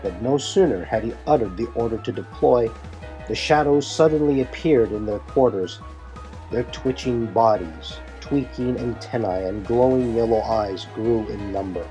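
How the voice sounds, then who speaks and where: A man's voice: medium pitch, moderate pace, mellow, dull audio. A man delivering scripted, dramatic narration for an audio drama. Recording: music bed behind the voice.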